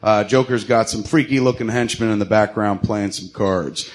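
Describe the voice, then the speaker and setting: A man's voice: lowish voice, moderate pace, slight background noise. A man informally narrating stage directions, hesitant and casual, as if improvising the scene.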